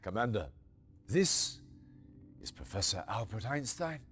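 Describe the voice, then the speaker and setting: A stern briefing officer. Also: male voice, on the low side, expressive, a little slow, fairly bright tone. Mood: formal. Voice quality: crisp, authoritative. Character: stern male military officer briefing a commander. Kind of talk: game mission briefing.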